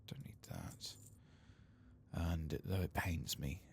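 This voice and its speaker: Male ASMR gamer, very low creaky voice, soft-spoken to whispered, muttering to himself, faint background noise. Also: sing-song, slow. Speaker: host of an ASMR game playthrough.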